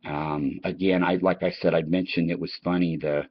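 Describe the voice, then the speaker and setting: Very deep-voiced American man, rambling casually. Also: flat delivery, moderate pace, slight background noise. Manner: chatty, anecdotal, repeats and circles back. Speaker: online channel host. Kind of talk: casual rambling reminiscence to listeners. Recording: dull, lo-fi, dry recording.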